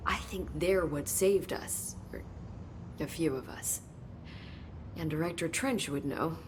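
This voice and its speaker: A low, soft-spoken researcher at a secret agency, talks thoughtfully with the odd sigh. Her dialogue comes from a video game cutscene with faint ambience behind it. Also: takes it slowly, noisy background. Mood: earnest. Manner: soft, hesitant, thoughtful with sighs. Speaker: game character.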